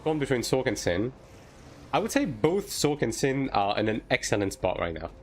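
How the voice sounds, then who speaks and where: A man's voice: mid-range voice, sing-song, normal speed, soft background music. A man from France, a native French speaker, chatting casually and informally on a game livestream as he answers viewers. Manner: informal, hedging, tags sentences with "right?". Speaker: game streamer.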